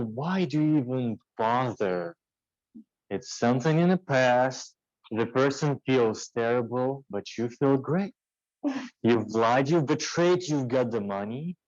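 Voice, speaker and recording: A man with a medium-pitched voice delivers an off-the-cuff club speech. He pushes his points with rhetorical questions and short commands. The sound is dry and a bit dull. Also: a little mellow, dry recording. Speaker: impromptu speaker at a speaking club. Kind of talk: impromptu motivational speech.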